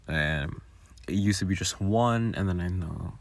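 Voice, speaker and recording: A man with a very low voice talks through his creative process in a loose, informal ramble. His delivery is slow and gravelly, recorded dry and close, like offhand commentary for viewers. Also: no room sound. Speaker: audio roleplay creator. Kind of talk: casual behind-the-scenes commentary.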